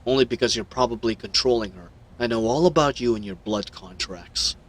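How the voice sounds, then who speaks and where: A man voicing a captive young werewolf hero, gruff, defiant and wounded, in an audio roleplay. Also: medium pitch, a little fast, in a large hall, lightly acted.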